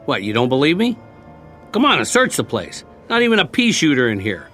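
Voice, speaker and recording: An actor playing a weary, wry American ex-soldier, plain-spoken and coaxing, in a radio drama. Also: male voice, fairly high pitch, huge pitch swings, a little fast, fairly bright tone, faint music behind. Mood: wry, firm. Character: weary, wry male ex-soldier surviving after war.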